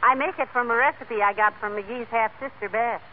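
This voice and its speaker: A woman's voice: a little high, a little fast, close to an everyday voice. An actress playing a dry-witted, sensible housewife and hostess who teases her husband in a 1940s radio comedy. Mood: dry, hospitable. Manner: dry, wry, hospitable. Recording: tinny, faintly noisy old radio broadcast.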